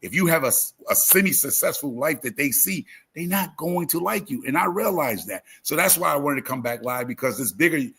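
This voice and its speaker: A man's voice: a little high, animated, a little fast, vocal fry, few pauses, sharp tone, slightly rough. A talkative man in his fifties chatting casually as a livestream host, emphatic and repeating phrases as he tells motivational stories. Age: 50s. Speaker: YouTube gardening creator and live host.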